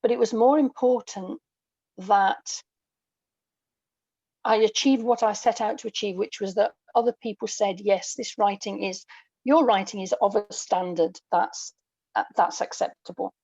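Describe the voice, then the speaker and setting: Low-voiced woman in her sixties, dull audio. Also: unhurried, a little mellow, husky, no echo. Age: 60s. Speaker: guest, audio drama writer. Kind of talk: reflective interview conversation.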